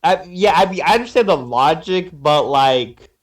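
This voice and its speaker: A young man chatting casually and critiquing in a halting way, repeating words as he thinks. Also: a little high, animated. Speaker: co-commentator. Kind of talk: casual chat, teasing critique.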